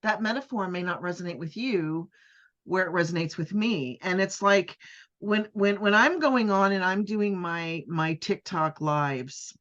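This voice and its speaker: A middle-aged woman hosting a podcast in casual, animated chat, often repeating words and restarting her sentences. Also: on the low side, a little slow, lo-fi sound. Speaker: podcast host.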